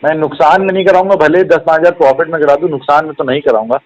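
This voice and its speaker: A man talks very quickly on a phone call, his voice a little on the high side. He is a salesman placating a customer, polite and defensive, often cutting in. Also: steady tone, darkish tone. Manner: polite, defensive, repeatedly interjecting. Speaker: car sales executive.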